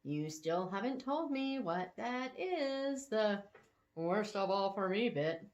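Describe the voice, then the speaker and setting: A woman's voice: mid-range voice, very animated, slow pace, darkish tone. A woman voicing a sassy, eager young woman in a romance story, direct and playful.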